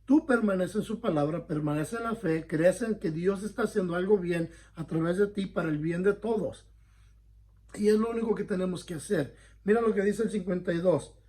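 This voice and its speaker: A man's voice: high voice, moderate pace, mellow. A male pastor teaching a Bible study in an earnest, conversational way, often checking in with his listeners and correcting himself as he goes. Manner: frequent self-corrections.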